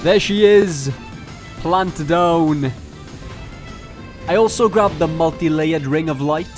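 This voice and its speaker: A man's voice: fairly high pitch, takes it slowly, over music. A man narrating a video game challenge run as scripted commentary, playful and mock-grandiose with jokey asides.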